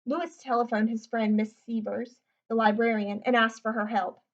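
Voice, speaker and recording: High-voiced woman reading a storybook, slightly muffled. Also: moderate pace. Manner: animated, chatty asides to listeners. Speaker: teacher reading a picture book aloud. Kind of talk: expressive children's read-aloud with asides.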